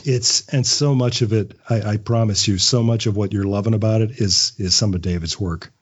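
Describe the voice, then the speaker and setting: Husky, chatty middle-aged man, dull sound. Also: fairly low pitch, fairly even intonation. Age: 50s. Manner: chatty storyteller, halting with repeated words, chuckles often. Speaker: podcast guest, audio drama maker. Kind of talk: casual interview chat.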